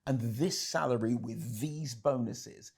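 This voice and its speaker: A man's voice: mid-range voice, slow pace, gravelly, darkish tone, breathy edge. A man speaking as a podcast guest. He talks bluntly and with emphasis. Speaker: podcast guest, vocal coach. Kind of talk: casual conversation.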